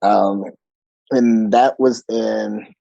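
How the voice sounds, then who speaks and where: A low-voiced man in his forties from Monterey, California, chats as a podcast guest, playful and quick to laugh, with plenty of ums. The sound is dry and a little dull, lo-fi. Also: fairly even intonation, gravelly, mellow, dry recording. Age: 40s. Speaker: guest, choir director. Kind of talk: casual interview chat.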